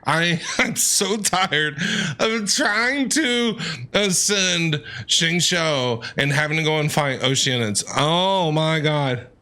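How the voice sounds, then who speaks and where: A man's voice: on the high side, lively intonation, slow pace, bright, forceful tone. A man streaming a game, giving casual, playful commentary and talking back to the characters on screen. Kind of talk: casual reactive gameplay commentary. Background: game music.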